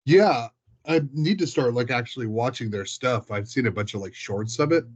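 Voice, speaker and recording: A man's voice: medium pitch, moderate pace, husky. A man talking informally in a casual, conversational chat. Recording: muffled, dull lo-fi sound.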